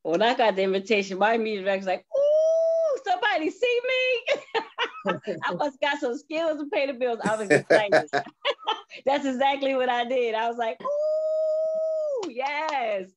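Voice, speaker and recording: A woman's voice: high voice, wildly swooping pitch, measured pace, crowd noise. A middle-aged woman speaking casually as a guest in an interview. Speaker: interview guest, stage play cast member. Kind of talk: casual self-introduction and chat. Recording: dull lo-fi audio.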